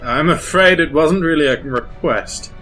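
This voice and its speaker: A man's voice: on the high side, unhurried, pushed and bright. Formal, anxious Imperial lieutenant played by a man. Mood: formal command. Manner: formal, military, slightly nervous. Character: anxious, dutiful young male Imperial lieutenant. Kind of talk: sci-fi audio drama acting. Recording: music behind the voice.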